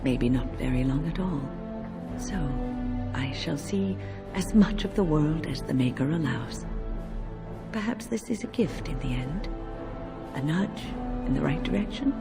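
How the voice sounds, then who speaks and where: An actress playing a wise, gentle elderly mage in a video game, speaking in a measured, reflective, kindly way with wry touches. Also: female voice, low-pitched. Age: older. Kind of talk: video game character dialogue. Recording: music behind. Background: music.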